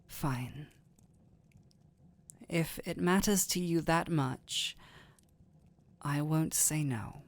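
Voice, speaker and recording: A man plays a gentle village healer in a fantasy audio drama, speaking softly and slowly in a fairly high male register. He is weary and protective, with hesitant, breathy phrasing. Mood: tender, yielding. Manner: soft-spoken, hesitant, often breathy and sighing. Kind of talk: intimate roleplay audio drama.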